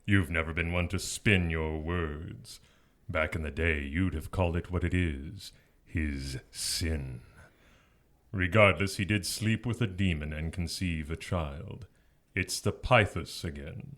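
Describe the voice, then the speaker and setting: A man's voice: sits low, takes it slowly, fairly bright tone. A man playing a smug, taunting, seductive fallen angel in an audio drama, with a teasing, sardonic drawl. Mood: mocking.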